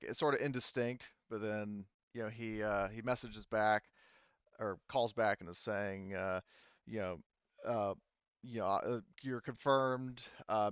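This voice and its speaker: A man narrating a tabletop roleplaying game as game master, explaining things in a halting way. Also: on the low side, a bit bright, clean tone, no echo, dull audio.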